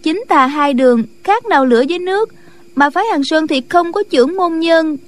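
Woman acting as young female disciple, very high girlish pitch, earnest, deferential, eager, audiobook drama. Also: fairly quick. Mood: earnest, urgent. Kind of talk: audiobook drama of a martial arts novel.

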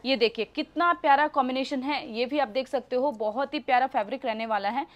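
Woman, twenties, Indian accent, high pitch, talkative persuasive sales presenter. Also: brisk, highs cut off. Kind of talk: sales pitch showing goods to camera. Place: indoor textile showroom.

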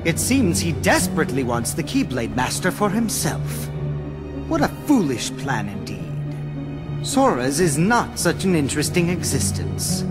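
A man playing a cold, scheming game villain, smug and intrigued, with a haughty, theatrical and deliberate delivery. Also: on the high side, sing-song. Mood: scheming, disdainful. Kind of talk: video game cutscene acting. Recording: scary music loud behind.

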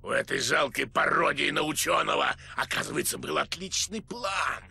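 A wrathful evil spirit from a cartoon game, extremely gravelly and forceful. The voice sits high, and it declaims with bombastic indignation. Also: male voice, animated, normal speed, no echo, full character voice. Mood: furious, vengeful. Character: wrathful, vengeful evil male mask spirit. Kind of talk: cartoon video game dubbing.

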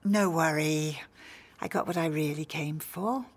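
A prying customer in a radio soap. She is formal and falsely polite, with an arch, insinuating delivery in a slightly low voice. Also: a little slow. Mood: smug. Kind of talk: radio soap opera acting.

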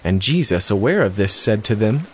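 A man's voice: lowish voice, big pitch swings, moderate pace, slight background noise. A man narrating a dramatized scripture reading as a scripted narrator.

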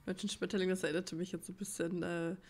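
A woman's voice: lowish voice, level tone, fast talker, darkish tone. A woman giving casual gameplay commentary, musing and thinking aloud as she plays. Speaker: let's player.

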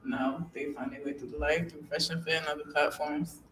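A young woman chatting casually on a podcast, talking in a flat, monotone way with little energy. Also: sits low, brisk, rough recording. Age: young adult. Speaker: blind date guest. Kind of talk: casual chat. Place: small podcast studio, indoors. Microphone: studio microphone held close.

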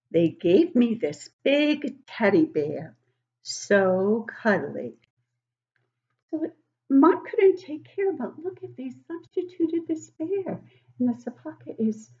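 A woman in her sixties reading a picture book aloud to young children, expressive and encouraging, stopping to put questions to them. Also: mid-range voice, highs cut off. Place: living room at home, indoors.

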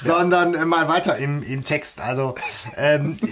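A man's voice: a little high, a little slow, a loud crowd. A man co-hosting a podcast in casual, colloquial chat, feeling his way through loosely built phrases. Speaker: podcast co-host. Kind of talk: casual chat discussing an audio drama.

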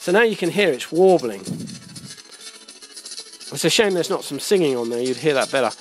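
A middle-aged man giving an informal hands-on tutorial in a halting, explanatory way, often correcting himself. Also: fairly high pitch, lively intonation. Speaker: electronics hobbyist demonstrator. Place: indoors at a workbench. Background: music playing from a small speaker at times.

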